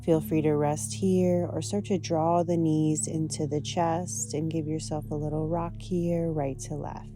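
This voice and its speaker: A woman teaching yoga, guiding a practice in a gentle, soothing, unhurried manner. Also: low voice, little pitch movement, over music. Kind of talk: guided yoga and meditation instruction.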